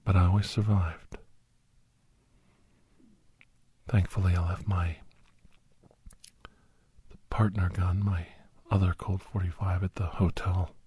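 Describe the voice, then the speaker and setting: Man acting a whispering, husky, weary old soldier. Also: dark tone, heavily acted. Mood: grave, reflective. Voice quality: breathy, husky low voice. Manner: slow, halting, confessional, brooding. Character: weary, guilt-ridden older male doctor, armed veteran monster hunter. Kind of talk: whispered ASMR first-person audio story.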